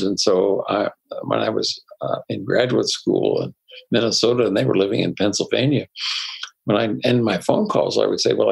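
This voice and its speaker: An older man, a psychologist guest, telling personal stories in a reflective, unhurried way with soft laughs. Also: lowish voice, vocal fry, husky, poor audio. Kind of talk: conversational interview storytelling.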